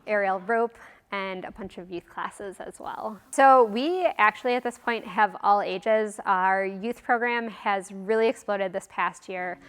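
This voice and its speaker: A woman's voice: medium pitch, fairly bright tone, clear, resonant tone, long reverb tail. A woman who owns an aerial arts studio, answering interview questions in a friendly, upbeat and encouraging way.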